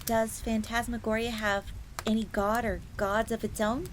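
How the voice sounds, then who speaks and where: A woman's voice: fairly high pitch, flat delivery, moderate pace, close to an everyday voice. A woman voicing a young, earnest, secretive fox maid in a scripted fantasy audio roleplay, confiding in the listener. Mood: explaining, curious. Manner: speaks of herself in third person, confiding. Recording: crackling fire noise behind voice. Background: crackling fire.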